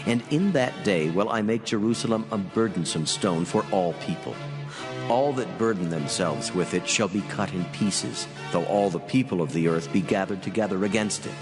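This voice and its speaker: An actor playing a mighty, solemn God of scripture, declaiming with grave weight in a dramatized reading. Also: male voice, sits low, moderate pace, lightly acted. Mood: majestic, ominous. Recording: long cavernous reverb. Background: music.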